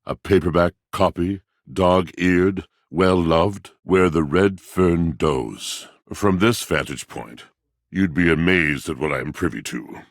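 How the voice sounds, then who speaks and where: A pompous, melancholic washing machine from a video game, a bookish soul stuck in older times. The voice is very low and rough with husk, recorded dry and close. The delivery is grandly theatrical, in ornate, formal, old-fashioned phrases. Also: male voice, slow, no room sound, heavily acted. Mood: haughty, bookish. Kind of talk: video game character acting.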